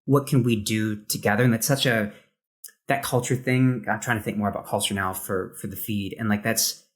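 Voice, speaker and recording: A man hosting a casual podcast, speaking reflectively and haltingly as he searches for words with many false starts. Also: on the low side, steady tone. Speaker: podcast host.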